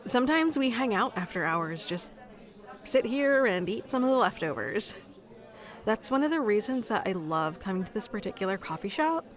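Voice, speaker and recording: A woman's voice: medium pitch, expressive, moderate pace, natural voice. A woman playing a shy, nerdy young writer in a conversational ASMR roleplay, rambling in a hesitant, giggly, self-conscious way. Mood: shyly enthusiastic.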